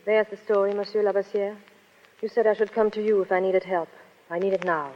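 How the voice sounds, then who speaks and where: An actress playing a cool, alluring cabaret woman in a 1930s radio drama, soft and measured. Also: medium pitch, flat delivery, faint music behind, close to an everyday voice. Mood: pleading. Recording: muffled 1930s radio broadcast, hall reverb.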